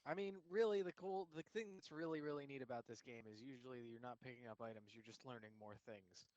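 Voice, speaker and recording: A man's voice: medium pitch, fairly quick, a little mellow, no echo, muffled recording. A man bantering casually as he advises a friend playing a game. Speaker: co-host advising the player. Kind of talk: casual let's play banter.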